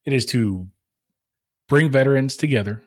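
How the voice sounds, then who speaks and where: A man's voice: medium pitch, normal speed, a little mellow. A man co-hosting a casual live show, easygoing and teasing. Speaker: co-host. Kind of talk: casual livestream chat.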